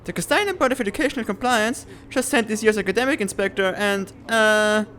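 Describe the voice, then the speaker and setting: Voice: medium pitch, fairly quick, a bit bright, a little hiss or hum, close to an everyday voice. An actor playing a chirpy, scatterbrained academy professor in a comic fan dub, bubbly, rambling and apologetic. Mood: chirpy, excited.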